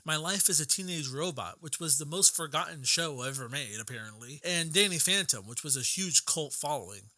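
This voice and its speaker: Bright, lively young male narrator. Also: on the high side, no room sound. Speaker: video essay narrator. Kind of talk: scripted documentary narration. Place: close, dry voice-over recording.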